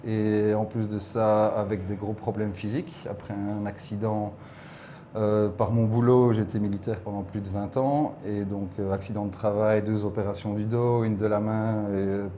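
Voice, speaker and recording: A man's voice: fairly low pitch, level tone, normal speed, mellow, slight background noise. A man in his forties from Belgium with a Belgian French accent, a guest chatting casually and reflectively in an interview, laughing easily. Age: 40s.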